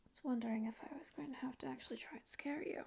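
A woman's voice: sits high, steady tone, racing, gravelly, a little mellow, slight background noise. A woman voicing a grouchy, ancient sleep paralysis demon who secretly cares, speaking in a sarcastic, mock-rude ASMR roleplay whisper close to the mic in a small dim room. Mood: grumpy whisper. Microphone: close ASMR microphone.

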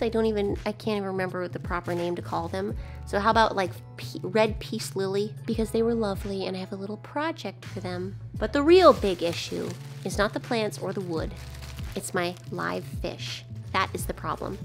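A hoarse young woman chatting to a handheld camera in a casual, excitable vlog. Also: medium pitch. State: hoarse from shouting. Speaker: vlogger. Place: hotel room, then airport. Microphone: handheld camera at arm's length.

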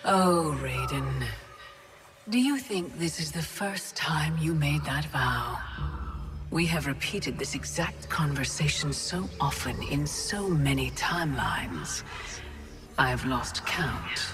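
A woman voicing a serene, condescending titan goddess villain in a video game, with imperious, breathy menace. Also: sits low, lively intonation, takes it slowly, music in the background, full character voice. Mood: mocking. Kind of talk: dramatic video game cutscene acting.

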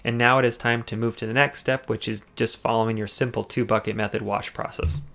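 A man's voice: medium pitch, fairly even intonation, brisk, vocal fry, fairly bright tone. A man narrating a car detailing video as voice-over, explaining each cleaning step and giving tips. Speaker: car detailing channel host.

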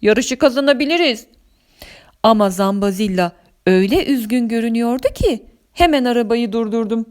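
A woman storyteller reading a scripted children's story with expressive narration. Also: a little high, fairly quick, clear, resonant tone.

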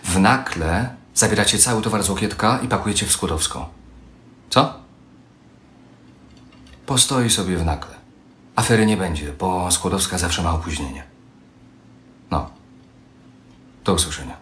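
A young man playing an evasive, talkative husband who works as a train conductor, making smooth excuses, recorded on a phone at home. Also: sits low, normal speed, long pauses, a bit bright. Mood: secretive. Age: young adult. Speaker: sleeping-car train conductor. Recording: roomy home room, faint hiss.

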